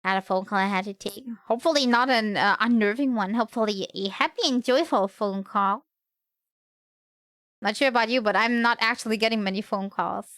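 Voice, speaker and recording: A woman's voice: a little high, fairly bright tone, dry recording. A woman livestreamer with a slight cold, chatting casually in a hesitant, rambling way.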